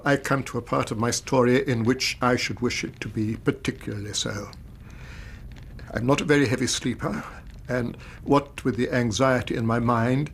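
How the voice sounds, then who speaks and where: A man's voice: medium pitch, gravelly, a little hiss or hum. An actor playing an anxious, upright older British banker and weary father, speaking formally in a radio drama. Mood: anxious, earnest. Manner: formal, measured, distressed. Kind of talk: BBC radio drama acting.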